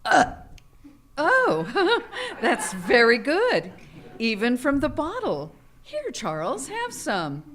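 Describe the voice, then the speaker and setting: A woman's voice: high voice, wildly swooping pitch, takes it slowly, pauses often, fairly bright tone, full character voice. A woman playing a flirty, naive married hotel guest, gushing and playful, in a live radio comedy. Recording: live theater stage with background noise.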